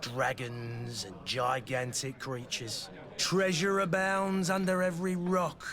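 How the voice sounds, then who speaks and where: An actor playing a talkative, world-weary tavern keeper in a fantasy game, with a folksy storytelling manner. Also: male voice, on the high side, level tone, slow, a bit bright. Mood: wry, storytelling. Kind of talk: video game dialogue acting.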